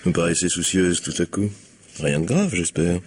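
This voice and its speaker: An actor playing a courteous, genial family doctor with a gravelly, husky voice in a 1950s-style French radio mystery. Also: male voice, low voice, animated, normal speed, slight background noise. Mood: concerned.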